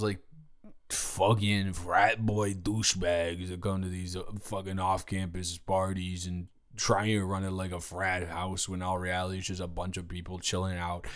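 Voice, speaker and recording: A man's voice: low voice, lightly acted. A young man playing a tipsy, goofy college student roommate, rambling and stammering as he talks directly to the listener in an improvised audio roleplay. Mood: indignant. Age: young adult. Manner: rambling, stammering drunken speech with giggles.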